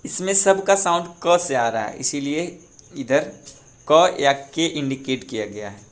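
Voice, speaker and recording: A young man from Bihar, India, teaching a pronunciation lesson and repeating each word slowly for practice. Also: fairly high pitch, lively intonation. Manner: slow, repeats words drill-style. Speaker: language teacher.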